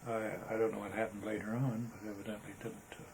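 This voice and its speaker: An older American man reminiscing casually in an interview, halting and rambling as he talks. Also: lowish voice, level tone, darkish tone, slight background noise. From Arizona, United States. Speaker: interviewee, veteran photographer. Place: indoors, photographer's studio.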